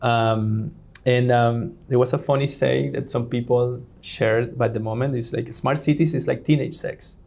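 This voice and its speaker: A man's voice: mid-range voice, fairly even intonation, measured pace, vocal fry, a little mellow. A man from Venezuela, a guest in an interview, talking with many fillers and restarts. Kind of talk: conversational interview.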